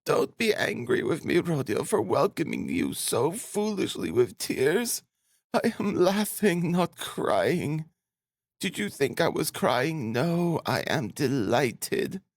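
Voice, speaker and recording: Guy doing an old mum in an audiobook, high voice, gushy, fussy, weepy-happy, dry sound. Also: big pitch swings, slow, no echo, exaggerated voice. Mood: tearful joy. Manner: gushing, effusive, rambling. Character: doting, flustered elderly mother, tearful with joy. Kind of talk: audiobook character acting.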